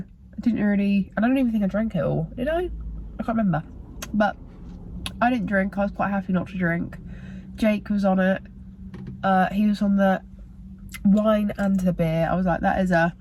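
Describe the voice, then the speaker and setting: Tired, croaky British young woman chatting. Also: mid-range voice, a little mellow, a little hiss or hum, rough recording. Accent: British English. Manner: rambling, filler-heavy, self-correcting. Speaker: vlogger. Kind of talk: casual chatty vlog talk to camera.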